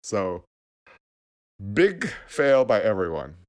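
A man's voice: fairly low pitch, very animated, slow pace. A man co-hosting a podcast, recapping a show in casual chat and laughing easily. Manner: laughs easily, reads out summaries. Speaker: podcast co-host.

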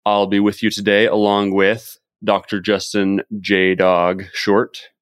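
A man's voice: fairly low pitch, completely flat delivery, slow pace, dry recording, clean studio sound. A man hosting a podcast, chatting and summing up advice in an upbeat coaching tone. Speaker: podcast host. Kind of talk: casual podcast chat and summary.

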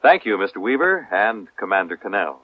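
A man's voice: on the low side, very animated, a little fast. A man announcing formally as a network radio announcer in 1950s style. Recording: dull old radio recording.

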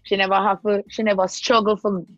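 A woman's voice: mid-range voice, level tone, brisk, fairly bright tone, no room sound, highs cut off, faint music behind. A woman from Jamaica with a Jamaican accent, talking earnestly in a casual chat and repeating her key points for emphasis.

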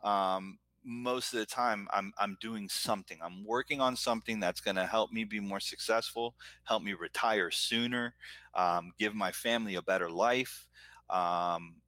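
Middle aged american guy chatting away, earnest n talkative, lowish bright punchy voice. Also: measured pace, no room sound. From Florida, United States. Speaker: guest, entrepreneur and business owner. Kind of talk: casual chat, personal reflection.

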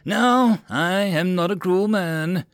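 A man's voice: sits high, slow pace, no echo, clean studio sound, voice pushed up. A man voicing a bullying, sarcastic military drill instructor in an audiobook, barking and sneering theatrical insults. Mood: menacing.

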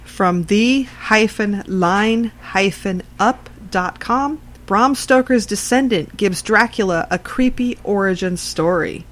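A woman's voice: mid-range voice, slow, few pauses, fairly bright tone. A woman narrating a written article in a scripted reading style.

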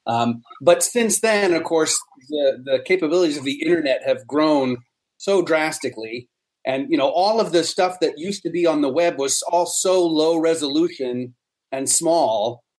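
A middle-aged man with a slightly high voice answers as an interview guest. He explains casually and somewhat haltingly, restarting phrases as he goes. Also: darkish tone, low-quality recording. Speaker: interview guest, member of a hobbyist society.

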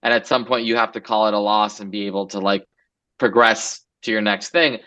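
A man's voice: fairly low pitch, steady tone, a little fast, sharp tone. A man in his forties from Los Angeles, a guest entrepreneur chatting casually in an interview, loose and rambling with many fillers.

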